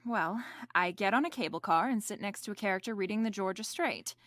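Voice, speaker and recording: A woman's voice: medium pitch, a little fast, fairly bright tone, dry recording, close to an everyday voice. An actress playing a wisecracking, flirtatious female private eye with a snappy, wry manner in a radio detective drama. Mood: bossy. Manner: snappy, wry, hard-boiled. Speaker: lead actress. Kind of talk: remake of 1940s radio detective drama, with narration.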